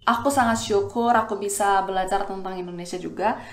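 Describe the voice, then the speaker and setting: A woman in her twenties with a Korean accent talks casually, as if explaining to friends in a vlog. Her pitch is average for a woman. The room adds a clear echo around her voice. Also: fairly quick, background noise. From South Korea. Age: 20s. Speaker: student vlogger.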